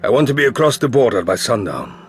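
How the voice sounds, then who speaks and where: A man's voice: medium pitch, sing-song, fast, faint music behind, natural voice. An actor playing a commanding, fatherly racing team boss in a video game. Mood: brisk, commanding. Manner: authoritative. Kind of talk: dramatic video game acting.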